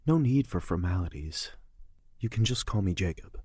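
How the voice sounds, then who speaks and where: A self-assured royal guard for an audio drama, teasing and flirtatious. His voice is deep and husky, with a dry, close sound. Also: animated, moderate pace, a little mellow, dry recording. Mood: flirty, teasing.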